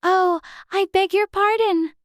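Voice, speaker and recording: A woman's voice: very high voice, steady tone, a little slow, no room sound, clean studio sound, voice pushed up. A woman voicing a polite, eager little girl with an earnest, childlike manner in a dramatized audiobook. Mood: apologetic. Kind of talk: dramatized audiobook character dialogue.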